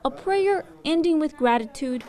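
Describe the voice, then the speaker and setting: A woman's voice: high voice, animated, normal speed, very noisy. A woman television reporter reading scripted news narration.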